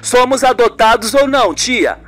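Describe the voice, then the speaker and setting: A woman's voice: on the high side, expressive, normal speed, fairly bright tone, pitched above the performer's own voice. A woman voicing an earnest, insistent young nephew with exclamatory questioning in a radio soap. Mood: insistent, anxious. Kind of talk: amateur radio soap acting.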